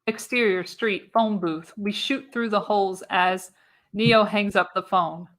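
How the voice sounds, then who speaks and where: A woman's voice: medium pitch, fairly even intonation, unhurried, fairly bright tone, poor audio. A woman reading screenplay narration for a live radio play, theatrical and emphatic on the action. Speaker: podcast host reading the narration.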